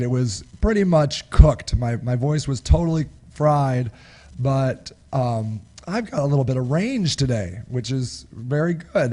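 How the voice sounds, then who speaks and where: A middle-aged man with a raspy, husky voice from a cold, hosting a live webinar in casual, rambling chat with many restarts. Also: a little high, warm tone. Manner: rambling, many restarts and chuckles, gestures with hands. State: recovering from a cold. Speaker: webinar host. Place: small home office, indoors. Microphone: studio microphone close in front.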